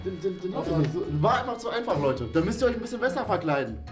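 A man's voice: sits high, sing-song, rapid-fire. A man in his twenties hosting a casual game show with friends, chatting playfully and teasing them. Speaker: host of a YouTube challenge show. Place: small indoor studio room. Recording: echoey room with music behind. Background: music.

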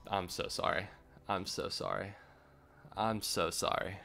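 A young man streaming a game from home, his voice hoarse and gravelly from screaming, rambling and swearing as he plays. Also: sits low, a little slow, sharp tone. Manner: rambling, swears when frustrated. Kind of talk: live gameplay stream chat. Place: home room.

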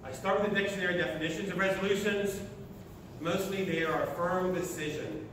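A man's voice: high voice, fairly even intonation, fairly quick. A sixty-year-old American man from Oklahoma giving a motivational talk to an audience in short, emphatic phrases, recorded from far off in a large lecture hall. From Oklahoma, United States. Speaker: speaker at a sustainability meeting. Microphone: distant camera at the back of the room. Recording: echoey hall from far off, noisy.

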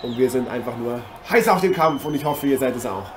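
A man's voice: mid-range voice, lively intonation, brisk, close to an everyday voice. A man playing an eager, effusive TV boxing host in an improvised German audio drama. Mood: excited. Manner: effusive, formal address to viewers. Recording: noisy arena ambience behind voice.

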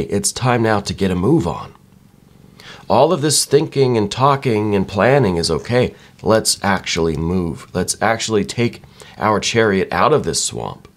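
Low-voiced man musing over tarot cards. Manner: musing, repeats "right?" often. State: slightly croaky. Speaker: tarot reader. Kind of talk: soft-spoken tarot reading.

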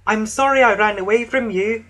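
A man's voice: high-pitched, normal speed, fairly bright tone, voice pushed up. A man voicing an earnest, proud and fretful female steam engine in a children's storybook. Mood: regretful.

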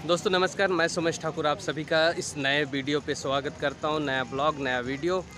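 A man's voice: fairly high pitch, steady tone, fairly quick, noisy background. A young man chatting casually to his phone camera at an open-air village market, asking sellers their prices. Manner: chatty, asks vendors prices. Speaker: vlogger. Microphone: phone held at arm's length.

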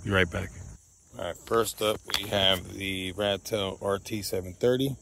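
Man, gravelly, lowish voice, casual radio test calls, open field outdoors, body camera mic. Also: steady tone, measured pace, noisy background. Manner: repeats radio test calls and calls out to his dog. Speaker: radio hobbyist host.

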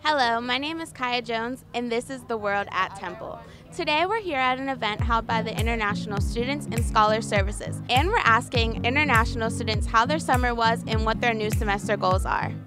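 A woman's voice: on the high side, brisk, music in the background. A young woman reporter interviewing students outdoors on a campus lawn with a handheld mic, upbeat and friendly. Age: young adult. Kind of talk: field interviews.

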